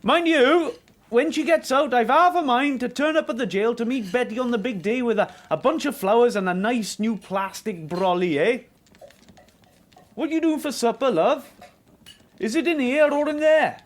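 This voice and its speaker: A man's voice: extremely high pitch, moderate pace. A man acting a grumbling, sardonic, contrary husband in a radio drama. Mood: musing, contrary. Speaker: actor in radio play. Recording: faint background noise.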